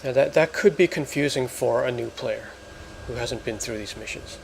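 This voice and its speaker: A man hosting a let's play, explaining things in casual, halting gameplay commentary. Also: medium pitch, creaky, slight background noise, poor audio. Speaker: gamer, let's play host.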